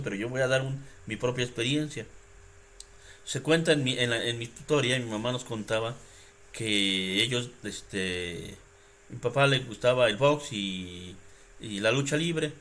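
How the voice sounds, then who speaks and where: A man's voice: mid-range voice, a bit bright. A Mexican man hosting a reaction video, talking in a casual, reflective monologue that rambles and halts with many restarts and fillers.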